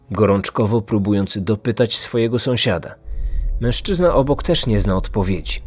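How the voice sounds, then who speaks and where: A man in his thirties narrating a scripted true-crime story as a podcast host. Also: lowish voice. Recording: music under the voice.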